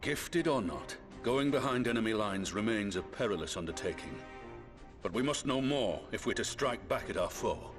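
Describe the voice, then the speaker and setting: Actor as low-voiced, stern military commander. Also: male voice, expressive, natural voice. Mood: grave, resolute. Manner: formal, authoritative, measured. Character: stern, composed male military commander giving a briefing. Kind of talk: video game cutscene acting. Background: prominent orchestral music.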